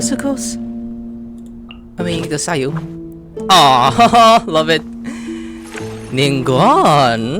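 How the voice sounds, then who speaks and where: An excitable gaming YouTuber giving casual, slangy commentary, jumping between muttered asides and squeals while playfully talking to game characters. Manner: exclamatory, swings from muttered asides to high squeals.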